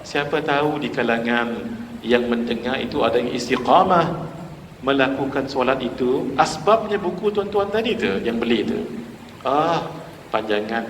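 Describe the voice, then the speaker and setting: A man in his forties with a Malaysian Malay accent, giving a lively sermon in a mosque and putting questions to the audience. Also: mid-range voice, a little hiss or hum. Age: 40s. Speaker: preacher giving a mosque lecture. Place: mosque hall. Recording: echoey hall reverb.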